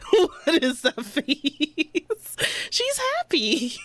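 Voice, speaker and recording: A woman reacts casually to a game as a streamer. Her voice is high and very animated but drawn out at a slow pace, giggly and gushing. Game music plays behind her.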